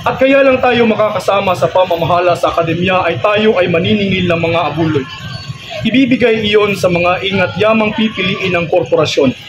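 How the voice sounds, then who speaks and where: A young Cebuano-speaking Filipino man rehearsing radio drama lines as an amateur and bantering casually. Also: sits high. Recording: loud music behind, voice at times distant.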